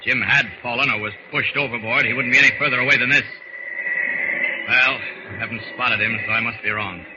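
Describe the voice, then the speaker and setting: A man's voice: mid-range voice, lightly acted. A man playing an earnest flying superhero in 1940s radio style, thinking aloud in short exclamatory bursts. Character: flying superhero, earnest, strong, searching the sea. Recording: noisy, dull old radio recording.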